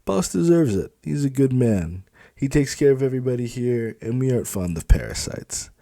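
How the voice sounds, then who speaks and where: A man's voice: mid-range voice, expressive, moderate pace, creaky, dark tone, no echo, clean studio sound, pitched above the performer's own voice. A man voicing a smug, crude club supervisor in an audiobook, dismissive and impatient with a sneering manner. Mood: matter-of-fact. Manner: sneering, exasperated, mouthy. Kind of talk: dramatised audiobook dialogue.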